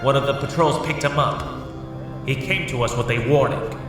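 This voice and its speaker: A man's voice: mid-range voice, moderate pace, lightly acted. A man playing a respectful soldier in a comic dub, reporting and explaining deferentially to his superiors. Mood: urgent report. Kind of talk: dramatic acting in comic dub. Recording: echoey hall, distant, music behind.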